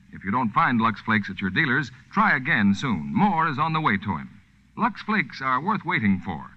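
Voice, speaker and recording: A man announcing and reading advertisements on 1940s radio with an emphatic salesman's delivery. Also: mid-range voice. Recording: dull vintage radio broadcast with music.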